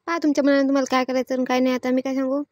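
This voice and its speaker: A quarrelsome village woman of cartoon comedy, acted by a woman, high-pitched and quick. She speaks rural Varhadi Marathi with a blunt, exasperated, complaining manner, recorded close and dry. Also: flat delivery, darkish tone, no room sound, close to an everyday voice. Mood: matter-of-fact, impatient. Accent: rural Marathi, Varhadi dialect. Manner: scolding, complaining. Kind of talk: animated family comedy dialogue.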